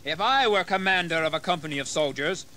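An actor playing a young colonial rebel leader in an audio history drama, impassioned and declamatory. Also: male voice, fairly high pitch, fairly quick, bright, forceful tone. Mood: fiery, rousing.

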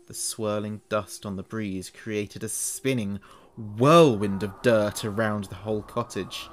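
A man narrating a scripted horror story in a measured, dramatic way. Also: lowish voice, vocal fry, a little hiss or hum.

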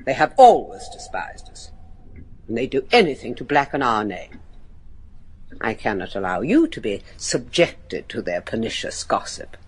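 A woman's voice: very deep voice, sing-song, normal speed, husky, heavily acted, rough recording. An older woman playing a haughty, evasive grandmother, lady of a manor, in a gothic horror radio play. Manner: haughty, theatrical delivery.

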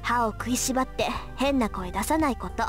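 A woman's voice: a little high, lively intonation, rapid-fire, soft background music, close to an everyday voice. Woman acting bossy, curt teenage girl. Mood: brisk, bossy. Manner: curt, commanding. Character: bossy, brisk, self-assured teenage girl.

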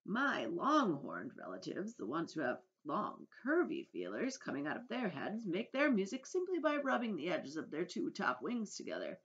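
A woman's voice: on the low side, huge pitch swings, normal speed, few pauses. A woman voicing a kindly, proud old male grasshopper musician in a storybook, genteel and theatrical. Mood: haughty. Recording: dull lo-fi recording.

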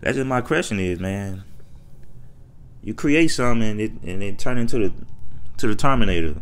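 Low-pitched American man, opinionated rant. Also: animated, normal speed, smooth. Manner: colloquial, repetitive, emphatic rhetorical questions. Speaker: online commentator.

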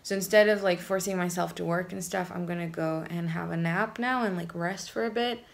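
A groggy young woman talking to her phone in a small room at home, creaky voice, rambling through her day. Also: on the low side, steady tone, a little fast. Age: 20s. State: tired, groggy. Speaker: vlogger. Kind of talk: casual chat to camera. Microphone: phone or camera at arm's length.

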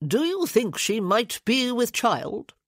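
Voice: medium pitch, big pitch swings, slow pace, fairly bright tone, dry recording, studio quality, voice pushed up, exaggerated voice. A storybook narrator voicing a puffy, over-eager little lord who prattles on insistently.

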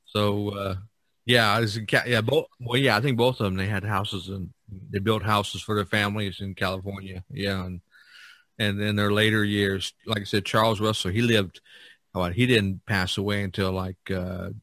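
A man with a lowish voice hosts a casual podcast discussion. He feels his way along in a hesitant, meandering manner. The sound is dry and close. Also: level tone, moderate pace, a bit bright, no room sound. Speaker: podcast host.

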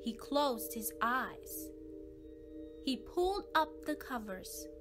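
A woman narrating a children's read-aloud expressively, with dramatic, suspenseful emphasis. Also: on the high side. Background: background music.

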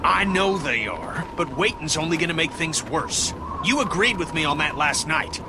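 An actor playing a stubborn, hot-headed middle-aged Southern US survivor leader in a video game, with a gruff voice. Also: male voice, fairly high pitch, animated, normal speed. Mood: stubborn, weary. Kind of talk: dramatic video game acting. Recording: loud music and crowd noise behind.

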